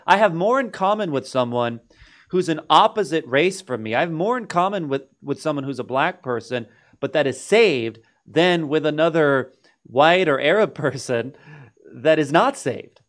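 A man hosting a casual religious discussion, conversational and repeating phrases as he works toward his questions. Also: fairly high pitch. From Lebanese heritage. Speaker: host.

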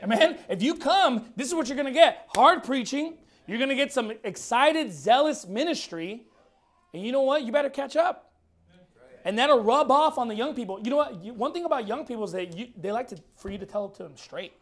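High-voiced preacher in his thirties, hoarse. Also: expressive, a little fast. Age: 30s. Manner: emphatic, blunt, repeats words. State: losing his voice. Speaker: pastor. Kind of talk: fiery sermon. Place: church.

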